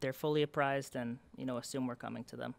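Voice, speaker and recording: A man's voice: mid-range voice, fairly even intonation, fast, creaky. A young man presenting to a town board at a public meeting, earnest and explanatory, with many fillers and restarts. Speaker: event committee representative. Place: town hall meeting room.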